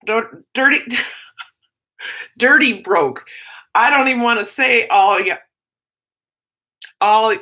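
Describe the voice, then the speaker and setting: A woman from Minnesota with her home accent, talking loosely and jumping between thoughts as she answers viewers live. Her voice sits a bit high and rings bright. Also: takes it slowly, long pauses. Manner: chatty, rambling, self-interrupting. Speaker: teacher and livestream host. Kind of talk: casual livestream chat, reading viewer comments.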